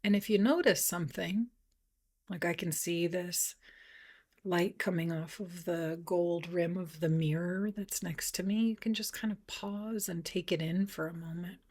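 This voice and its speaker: Woman doing a guided meditation, really slow and gentle, lowish voice, soft and a bit breathy. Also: fairly even intonation. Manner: gentle, unhurried, with long pauses. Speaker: meditation teacher.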